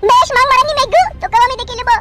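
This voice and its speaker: A woman's voice: extremely high pitch, fairly even intonation, racing. A woman voicing a scorned, vengeful, foul-mouthed village mistress in a cartoon melodrama. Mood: vengeful, furious. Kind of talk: amateur Bengali cartoon melodrama. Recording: loud music under the voice.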